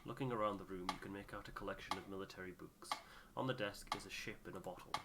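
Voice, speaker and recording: A man's voice: low-pitched, fairly even intonation, fast, very noisy. A man hosting a voiced game playthrough, moving between casual chat and reading narration aloud.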